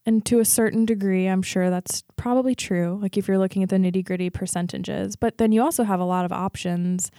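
Mellow-voiced woman in her twenties. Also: medium pitch, steady tone, fairly quick, hardly any pauses, no echo, studio quality. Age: 20s. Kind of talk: casual health chat.

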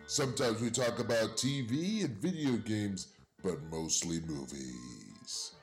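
A male podcast host giving a drawn-out, sing-song welcome at the start of the show. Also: on the low side, animated. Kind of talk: show intro. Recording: voice over loud intro music.

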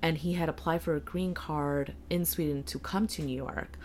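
A woman's voice: deep voice, fairly even intonation, normal speed, a little mellow, a little hiss or hum. A woman in her thirties chatting casually and telling a family story, full of fillers, restarts and quoted asides. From United States. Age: 30s. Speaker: guest. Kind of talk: casual conversation, personal storytelling.

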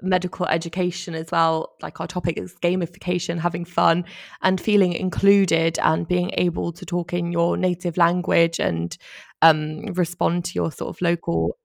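A woman co-hosts a podcast, reading a guest's biography and then chatting with enthusiasm. Her voice is lowish and carries noticeable vocal fry. The sound is dry, with no room echo. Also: flat delivery, normal speed. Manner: enthusiastic, effusive praise. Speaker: podcast co-host. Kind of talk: reading a guest bio, then casual chat.

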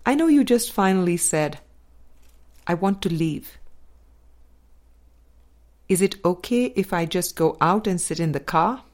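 A woman narrating a spiritual dialogue book as a scripted audiobook reading, with measured, deliberate phrasing and pauses. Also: on the low side, animated, darkish tone. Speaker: audiobook reader.

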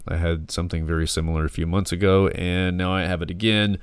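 A man in his thirties with a deep, gravelly, hoarse voice, rambling reflectively in a casual solo podcast. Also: little pitch movement, fast, no echo. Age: 30s. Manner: rambling, reflective, many fillers. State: hoarse from a respiratory infection. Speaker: podcast host.

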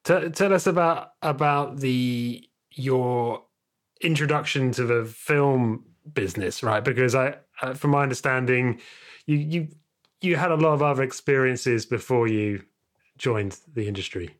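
A man's voice: medium pitch, measured pace. A man hosting a podcast, asking questions in a hesitant way with many fillers. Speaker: podcast host. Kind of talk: interviewing.

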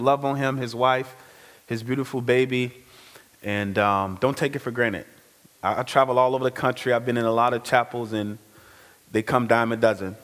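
A man giving a lively, conversational testimony sermon as a guest speaker, full of slang. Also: medium pitch. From Chicago. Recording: reverberant hall.

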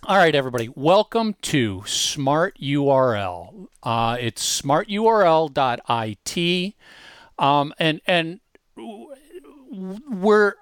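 A man co-hosting a podcast, explaining software features in a casual, conversational way with emphatic repetition. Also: a little high, sing-song, takes it slowly, smooth, no room sound, clean studio sound. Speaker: podcast co-host giving a software demonstration. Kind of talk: casual explanatory talk, walking through features.

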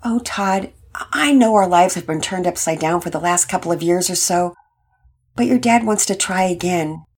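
Woman as a gentle devout mother. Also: on the low side, moderate pace, husky. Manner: gentle, hesitant.

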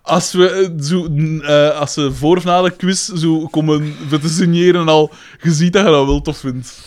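Male, Flemish accent, somewhat high voice, informal conversational delivery with a teasing edge. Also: fairly even intonation, moderate pace, darkish tone. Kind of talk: casual chat.

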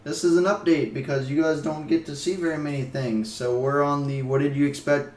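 A man's voice: on the high side, level tone, brisk, darkish tone, reverberant, distant mic. A young man hosting a casual vlog, restless and easily annoyed, chatting loosely with a friend. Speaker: vlog host. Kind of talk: casual chat.

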